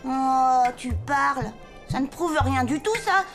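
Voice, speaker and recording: Lady doing a cheeky little elf boy, super high voice, pushy and boastful, cartoon music behind. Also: slow. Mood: indignant. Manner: cocky, insistent. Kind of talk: French cartoon dubbing.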